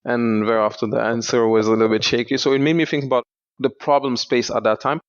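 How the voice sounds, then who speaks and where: A young man from Senegal, a startup founder, explaining his work in a measured way in a podcast interview. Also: mid-range voice, fairly even intonation, no echo. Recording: dull lo-fi dry sound.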